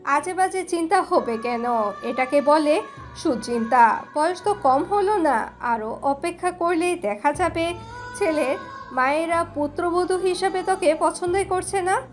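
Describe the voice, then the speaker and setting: An actress playing a firm, protective elder sister in an audio story, scolding and decisive. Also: female voice, very high-pitched, lively intonation, a little slow, soft background music.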